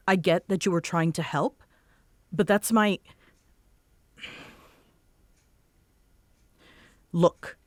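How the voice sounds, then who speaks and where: A woman acting a guarded, grudgingly warm and easily flustered young tsundere roommate in a roleplay audio drama, with halting, wry delivery. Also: mid-range voice, no echo, studio quality.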